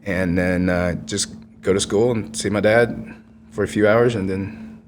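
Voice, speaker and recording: A man's voice: deep voice, steady tone, unhurried, slight background noise. A man in his fifties, from Vietnam with Vietnamese as his first language, earnestly telling his life story in a podcast interview with frequent restarts. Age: 50s. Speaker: guest, congressional candidate.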